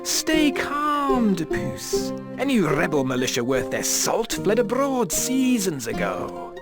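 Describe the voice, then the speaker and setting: A man's voice: high voice, very animated, slow pace, over loud music, heavily acted. An actor playing a smug, scheming medieval nobleman in a game cutscene, languid and condescending. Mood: smug, soothing.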